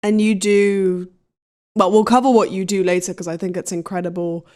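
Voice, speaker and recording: A woman's voice: medium pitch, flat delivery, normal speed, darkish tone. Chatty, enthusiastic woman podcast host. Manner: chatty and enthusiastic, many fillers. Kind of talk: casual interview chat.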